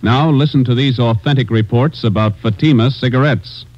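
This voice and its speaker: A man's voice: mid-range voice, normal speed, a little mellow. A man working as a radio announcer, reading scripted sponsor copy in a formal, emphatic 1950s style. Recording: dull vintage radio recording.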